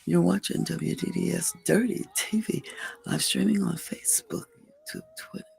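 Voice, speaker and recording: A hoarse, raspy-throated host of a casual livestream show, repeating station call-outs and coaxing viewers to like and subscribe. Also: mid-range voice, huge pitch swings, moderate pace, a little mellow.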